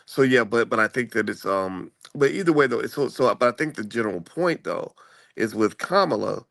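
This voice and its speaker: A man's voice: medium pitch, expressive, fairly quick, gravelly, fairly bright tone, dry recording, studio quality. A man hosting a channel, talking politics in a rambling, conversational way with many restarts. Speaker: channel host. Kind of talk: casual political chat. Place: traveling, in a room before a flight.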